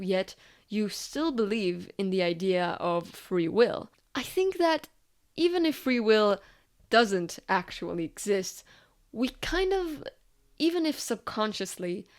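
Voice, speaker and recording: A woman's voice: mid-range voice, lively intonation, slow pace. A woman delivering scripted video essay narration in a reflective manner, often posing rhetorical questions.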